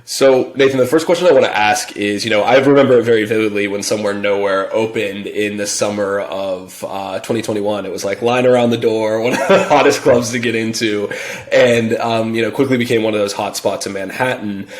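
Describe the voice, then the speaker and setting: A man's voice: on the low side, fairly even intonation, brisk. A man hosting an interview, upbeat and enthusiastic, with frequent fillers. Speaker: interview host. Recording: roomy echo.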